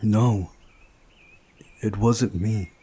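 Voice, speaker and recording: A man's voice: lowish voice, steady tone, very slow, warm tone, slightly rough, full character voice. A man voicing a defensive, unsettling teenage girl in a visual novel, speaking insistently and emphatically. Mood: defensive, indignant.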